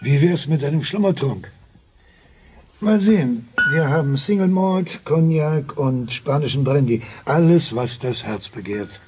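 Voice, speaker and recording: A courteous host offering drinks. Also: male voice, fairly high pitch, lively intonation, measured pace, very noisy. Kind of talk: radio play acting.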